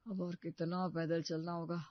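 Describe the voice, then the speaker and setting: Man as high-voiced grumbling office-going brother. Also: little pitch movement, normal speed, no echo, close to an everyday voice. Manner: complaining. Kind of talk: Hindi cartoon family story dubbing. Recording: dull, muffled studio voice track.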